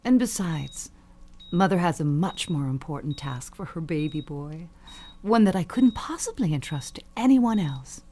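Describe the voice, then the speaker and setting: A woman's voice: low voice, animated, moderate pace, warm tone, slight background noise. An actress playing an elderly, dying perfume mogul, an imperious and cutting mother with genteel, falsely sweet manners. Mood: quietly scheming. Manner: genteel, commanding, condescending endearments. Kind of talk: audio suspense drama acting.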